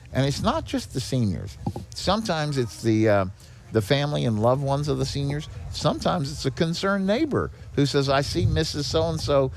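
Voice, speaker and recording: A man speaking as a charity director and podcast guest, explaining things in a measured, conversational way. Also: medium pitch, darkish tone, a little hiss or hum.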